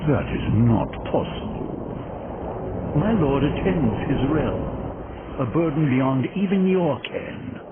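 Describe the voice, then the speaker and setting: An actor playing a haughty, sly undead chancellor in a video game cutscene, with a pompous, sardonic drawl. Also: male voice, fairly high pitch, lively intonation, slow, narrow, tinny audio, heavy background noise.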